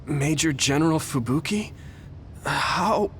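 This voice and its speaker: A man's voice: on the high side, lively intonation, slow pace, fairly bright tone, slight background noise. A man playing an earnest, polite and anxious young hero in a video game. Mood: earnest, grateful. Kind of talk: scripted video game voice acting.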